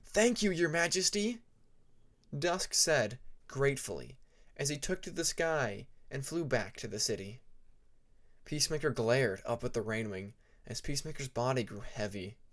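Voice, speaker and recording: A man narrating scripted fiction as an audiobook reader, deliberate and with dramatic pauses. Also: medium pitch, animated, fairly bright tone.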